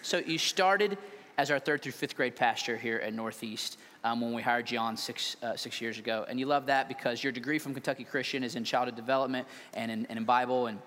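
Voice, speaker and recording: An energetic, joking man, a pastor, interviewing a colleague on stage with loud emphatic bursts. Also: mid-range voice, fairly quick. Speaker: lead pastor. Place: large church hall. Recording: reverberant hall, faint noise.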